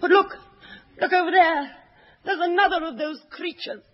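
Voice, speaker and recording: An actress playing a melodramatic, frightened posh heroine in a spoof old film, on a dull 1960s radio recording. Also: female voice, very high-pitched, normal speed. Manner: overwrought, gushing film-heroine delivery.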